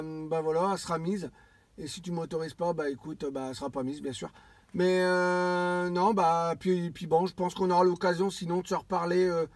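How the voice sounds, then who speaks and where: A man chatting casually as an amateur radio operator, halting and often repeating his phrases. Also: high voice, no echo. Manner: repetitive and halting, circling back over phrases. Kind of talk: casual radio contact chat.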